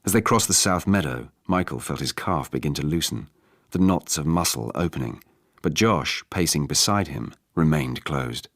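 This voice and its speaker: Male audiobook narrator, extremely low voice, dry close sound, measured and restrained storytelling. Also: animated, fairly bright tone, no room sound. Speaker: audiobook narrator reading an abridged novel for radio. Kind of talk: scripted literary narration.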